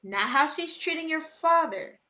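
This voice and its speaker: A woman, co-hosting a podcast in lively casual chat. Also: sits high. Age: 20s. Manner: animated storytelling, quick asides, laughs often. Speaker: podcast co-host.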